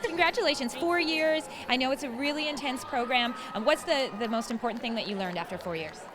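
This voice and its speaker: A woman's voice: sits high, a little fast. A woman hosting a community TV magazine show, interviewing guests and introducing segments in an upbeat presenter manner. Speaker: host. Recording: music bed playing loudly behind.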